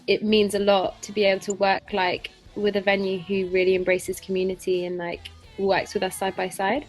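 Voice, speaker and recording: Articulate female band member, medium pitch, earnest conversational answers, soft music behind. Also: monotone, moderate pace, rough recording. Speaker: band member being interviewed. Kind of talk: casual interview chat.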